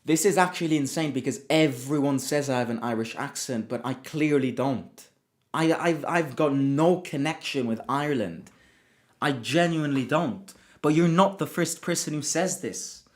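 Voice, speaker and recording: A man's voice: a little high, moderate pace. Chatty man with many restarts. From Italy. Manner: chatty, self-interrupting with frequent restarts and repeated words. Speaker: football fan channel host. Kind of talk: casual livestream chat reading viewer comments.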